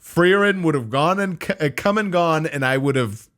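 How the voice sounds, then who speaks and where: A man co-hosting a casual show of reactions to anime, easygoing and relaxed, often dropping yeah and man. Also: a little high, moderate pace. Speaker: co-host.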